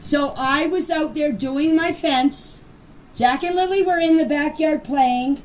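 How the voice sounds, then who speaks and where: An older woman with a high voice gives an informal, plain-spoken account of events. She stresses her points and repeats them. It is a voice message picked up at some distance, with faint noise in the recording. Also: a little slow. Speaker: grandmother recounting events. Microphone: voice clips recorded for a group chat.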